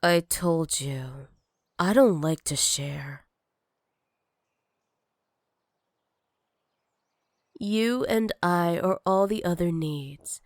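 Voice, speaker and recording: A woman's voice: on the low side, slow, no echo, clean studio sound. A woman playing a jealous, possessive villain with magic, teasing and menacing in a sultry ASMR roleplay.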